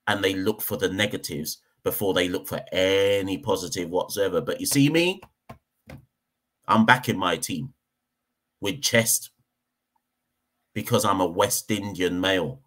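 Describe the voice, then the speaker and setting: A man's voice: low-pitched, lively intonation, measured pace, fairly bright tone. A man from Jamaica, hosting a cricket podcast and giving a heated opinion rant, hammering points home by repeating them. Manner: emphatic, repeats points for stress.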